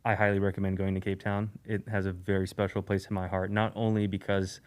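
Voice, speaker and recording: A man from Eastern Europe, a podcast guest, talking in a relaxed, rambling way with lots of fillers and restarts. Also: deep voice, a little fast. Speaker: guest, sneaker YouTuber. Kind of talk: casual podcast conversation.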